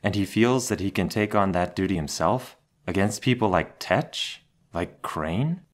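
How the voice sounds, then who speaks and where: Man as lowish-voiced brooding hero mentor. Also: lively intonation, unhurried. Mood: serious, concerned. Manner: serious, questioning, restrained. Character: stern, brooding male masked hero mentor.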